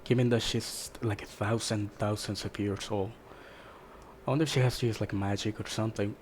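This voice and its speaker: Laid-back man with a lowish voice. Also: fairly even intonation, fairly quick, darkish tone, slight background noise. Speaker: reactor. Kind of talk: casual chat reaction.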